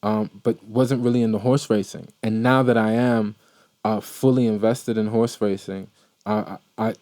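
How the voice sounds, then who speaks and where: A man's voice: on the low side, warm tone, no room sound, clean studio sound. A man who grew up in Maryland, hosting a podcast and giving casual horse racing commentary, rambling with many fillers and repeated words. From Maryland, USA. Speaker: podcast host.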